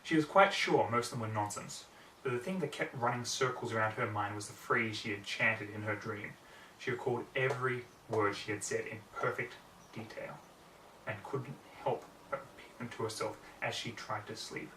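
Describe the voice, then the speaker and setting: A man's voice: medium pitch, normal speed, pushed and bright. A man reading scripted fiction aloud as an amateur audiobook narrator. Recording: roomy, distant mic, faint background noise.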